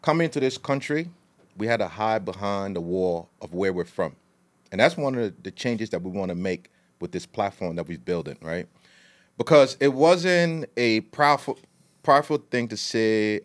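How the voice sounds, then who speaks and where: A man's voice: fairly low pitch, moderate pace. A middle-aged man from Liberia, telling his story as a podcast guest in a casual, long-winded way with street slang. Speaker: guest, food entrepreneur.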